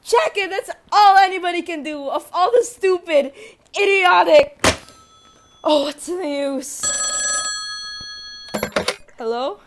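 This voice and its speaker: A woman's voice: very high-pitched, expressive, takes it slowly, pauses often, a little hiss or hum, exaggerated voice. A woman playing a nervous, imperious bedridden wife in a radio play, with a whining, indignant, melodramatic delivery. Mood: hysterical, furious.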